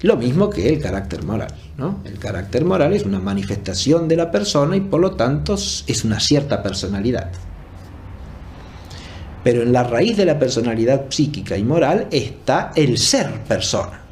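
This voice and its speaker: Male lecturer, medium pitch, deliberate explanatory delivery, frequent tag questions, some background noise. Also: big pitch swings, darkish tone. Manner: deliberate, often seeking agreement with tag questions. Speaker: philosophy lecturer. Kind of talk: explanatory academic lecture, spoken off the cuff.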